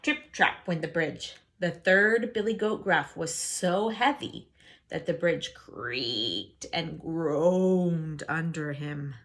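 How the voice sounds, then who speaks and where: A woman teacher reading a storybook aloud and teaching children, expressive, posing questions to her listeners. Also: on the low side. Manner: expressive, asks listeners questions and answers them.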